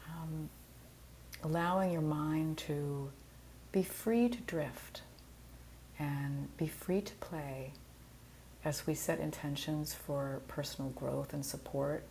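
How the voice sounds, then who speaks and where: Female yoga nidra teacher, low register, very measured pace, gentle and soothing guided-meditation delivery. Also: vocal fry, slight background noise. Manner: soothing, gentle, unhurried instruction.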